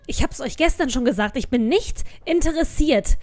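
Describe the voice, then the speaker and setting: A woman's voice: high-pitched, animated, normal speed, darkish tone. A woman playing an annoyed, curt young hotel receptionist in a parody audio play, stern and emphatic. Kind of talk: parody audio drama acting.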